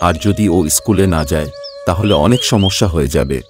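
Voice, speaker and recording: Man acting a low-voiced, devoted labourer father. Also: animated, fairly quick, music in the background. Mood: earnest pleading. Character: hardworking, self-sacrificing poor labourer father, devoted and humble. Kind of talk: Bengali cartoon story voice acting.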